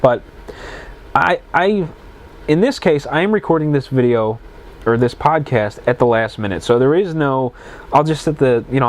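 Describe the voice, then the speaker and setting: Congested man hosting a solo podcast. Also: medium pitch. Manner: rambling, self-correcting, stumbles over words. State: congested, plugged sinuses. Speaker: podcast host. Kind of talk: casual solo podcast, reading news aloud.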